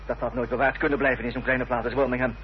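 An actor playing a determined, formal gentleman in a radio drama, earnest and insistent, on a dull, noisy old recording. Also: mid-range voice, little pitch movement, rapid-fire, lightly acted. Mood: grave, accusing. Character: determined, formal gentleman confronting an enemy's accomplice.

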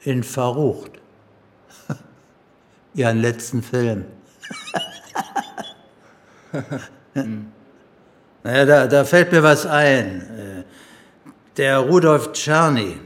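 A man's voice: mid-range voice, drawn-out words, long pauses, fairly bright tone. An actor playing a gossipy doorman who chuckles and talks conspiratorially. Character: gossipy man, a building doorman.

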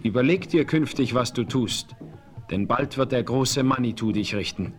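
An actor playing a dignified Apache chief in a radio play, speaking formally and referring to himself in the third person. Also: male voice, medium pitch, normal speed, soft background music, close to an everyday voice. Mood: stern. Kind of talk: 1970s radio play acting.

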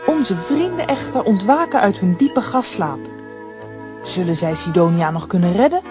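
A narrator with a Flemish accent telling a children's radio play in a lively storytelling way. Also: medium pitch, normal speed. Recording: music under the voice.

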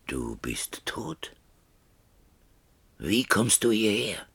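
A proud, humbled old chief speaks in a low, husky, gravelly voice. His delivery is grave and halting, often hushed, in a closely recorded, dry radio play. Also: sing-song, dry recording, heavily acted. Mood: hushed, wondering. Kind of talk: radio drama acting.

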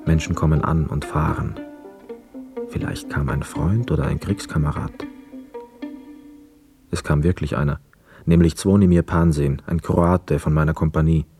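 A man playing a brooding, detached young war returnee, narrating a literary radio play in a measured, sober way. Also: very deep voice, fairly even intonation, mellow, soft background music. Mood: sober, reflective.

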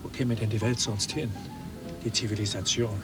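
A man's voice: lowish voice, flat delivery, normal speed, natural voice. An actor playing a fretful, self-important male passenger in a German radio drama. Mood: indignant. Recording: distant voice with music behind.

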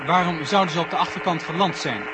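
An actor playing a formal television reporter giving live commentary in a vintage radio play. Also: male voice, fairly high pitch, fast, close to an everyday voice. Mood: polite, probing. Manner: formal, orderly announcing. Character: television reporter at a space control centre, composed, excited at liftoff. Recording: noisy vintage 1970 radio play recording.

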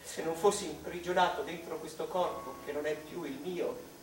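An actor playing a melancholy male mathematician confiding in his mother, in a wistful, halting, theatrical monologue. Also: on the high side, fairly even intonation, close to an everyday voice. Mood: yearning. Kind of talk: dramatic stage monologue. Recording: echoey room, distant mic, music behind.

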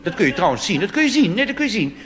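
A man's voice: high-pitched, sing-song, rapid-fire, a bit bright. A Dutch man performing a chatty, wry cabaret monologue full of asides, recorded live on stage. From Netherlands. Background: audience laughter and applause.

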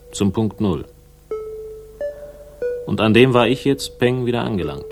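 An actor playing a world-weary, dry-witted investigator in a 1960s German radio crime drama, speaking in a laconic, sardonic way. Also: male voice, medium pitch, gravelly. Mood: brooding, sardonic. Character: world-weary, dry-witted male investigator narrating his own case.

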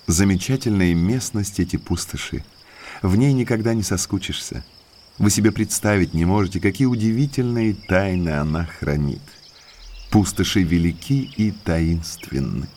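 Casting: male actor for a courteous, deliberate naturalist and moorland guide, deep register, enigmatic air, audiobook drama. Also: dark tone, a little hiss or hum, lightly acted. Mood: courteous, intriguing. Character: well-mannered male naturalist, eager guide to eerie moors. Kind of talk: Russian audiobook drama acting.

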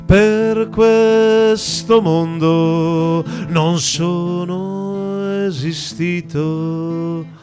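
Male singer, extremely slow ballad phrasing, prominent guitar accompaniment. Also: high-pitched, steady tone. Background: plucked guitar.

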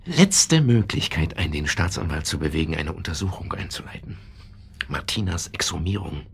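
An actor playing a grieving, guilt-obsessed widower in a radio crime drama, speaking haltingly and repeating himself. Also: male voice, sits low, big pitch swings, creaky, heavily acted. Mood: agitated. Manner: halting, repeats himself, sudden agitated outbursts.

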